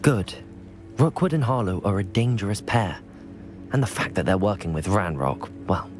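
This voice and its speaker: Male actor as student wizard, lively pitch movement, polite, guarded, understated, faint music. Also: normal speed, lightly acted. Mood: cautious. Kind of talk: video game voice acting.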